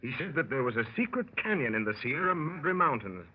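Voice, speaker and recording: An actor playing a plucky gentleman adventurer, telling his exploits wryly in 1930s radio style. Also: a little high, a little fast, breathy edge. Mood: wry storytelling. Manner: wry, animated storyteller. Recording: muffled 1930s radio broadcast.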